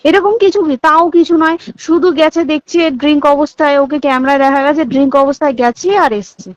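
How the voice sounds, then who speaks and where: High-pitched Indian Bengali woman, emphatic confiding phone talk, repetitive, dull call-recording sound. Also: steady tone, fairly quick, dry recording. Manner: emphatic, repeats points, checks listener understands. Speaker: hospital staff member. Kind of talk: private phone conversation, recounting insider details.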